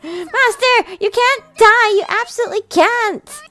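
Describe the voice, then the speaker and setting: A woman voicing a bubbly, childlike and clingy young cat girl, excitable and cutesy. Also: extremely high pitch, takes it slowly, no room sound, soft background music, voice pushed up, heavily acted. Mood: pleading.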